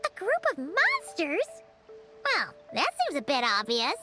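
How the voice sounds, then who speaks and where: A woman's voice: high voice, huge pitch swings, measured pace, heavily acted. A woman voicing an earnest, responsible young girl in a video game, matter-of-fact as she reasons things out. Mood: businesslike. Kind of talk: video game character acting. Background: loud game music.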